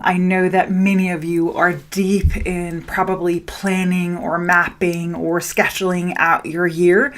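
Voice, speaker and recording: Slow, lower-pitched woman podcaster from Denmark. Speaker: podcast host and spiritual business coach. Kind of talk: solo podcast talk addressed to listeners.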